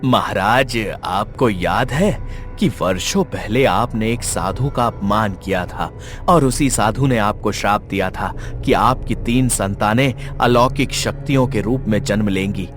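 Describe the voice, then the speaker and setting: A man voices a royal adviser in an animated storybook tale. His voice is low, his delivery measured, grave and respectful. Music plays clearly behind him. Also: close to an everyday voice. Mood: solemn reminding. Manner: measured, deferential, solemn. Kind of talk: animated moral tale voice acting.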